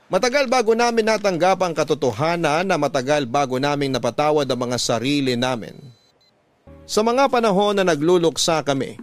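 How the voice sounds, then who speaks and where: A man's voice: fairly high pitch, expressive, brisk, no echo, close to an everyday voice. A man narrating as a bereaved husband in a Filipino radio drama, reading a letter in a solemn, heartfelt way. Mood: sorrowful. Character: bereaved husband recounting his sorrowful marriage story.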